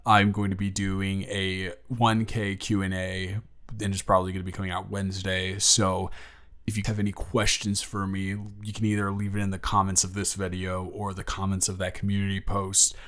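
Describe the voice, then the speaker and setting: A man's voice: sits low, completely flat delivery, moderate pace, a bit bright. A man giving a casual, friendly spoken intro to his listeners as an audio creator.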